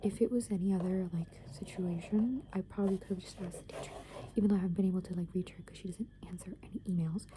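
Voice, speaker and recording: A young woman whispering close to the microphone, rambling softly and casually with plenty of "like" and "um" as she talks. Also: on the low side, fairly even intonation, fast, background noise. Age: young adult. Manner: soft unvoiced whisper, rambling with many fillers. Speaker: ASMR creator. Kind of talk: whispered ASMR soft rambling.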